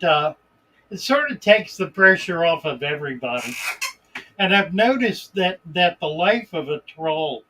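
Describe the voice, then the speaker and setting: A man hosting a live stream in a relaxed, unhurried way, greeting viewers by name and reading their comments aloud. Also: a little high, breathy edge, low-quality recording. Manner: relaxed, unhurried, chuckles between remarks. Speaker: livestream host.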